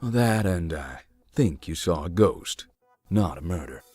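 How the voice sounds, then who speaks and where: Actor as a low, dry, guarded ghost believer. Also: animated, unhurried, a little mellow, slightly rough, dry recording, faint music behind, full character voice. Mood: matter-of-fact. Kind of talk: visual novel voice acting.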